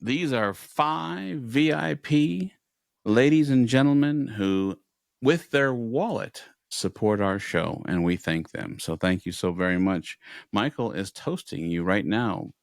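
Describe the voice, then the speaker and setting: A man's voice: mid-range voice, slow pace, vocal fry, no room sound, clean studio sound. A man hosting a podcast, chatting casually and making announcements with playful mock formality. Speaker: podcast host.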